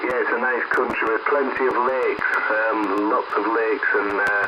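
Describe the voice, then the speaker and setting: A man's voice: fairly low pitch, flat delivery, measured pace, highs cut off. English man over very noisy two-way radio. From England. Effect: two-way radio transmission. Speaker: amateur radio operator. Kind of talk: casual two-way radio chat. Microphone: desk studio microphone through mixer, over radio. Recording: very noisy shortwave radio signal. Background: heavy radio noise.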